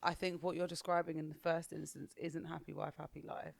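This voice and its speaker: A young woman with a deep voice co-hosting a podcast, firm and pushing back in casual chat. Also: flat delivery, fairly quick, gravelly, no echo. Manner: firm, pushes back, laughs easily. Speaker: podcast co-host.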